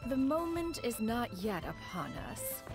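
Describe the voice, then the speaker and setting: A woman's voice: on the high side, huge pitch swings, unhurried, loud music behind the voice, exaggerated voice. An actress playing a proud, dignified female immortal in a video game, speaking in a formal, archaic, lofty manner. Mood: composed. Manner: formal, archaic, haughty, calls herself "one". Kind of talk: video game character acting.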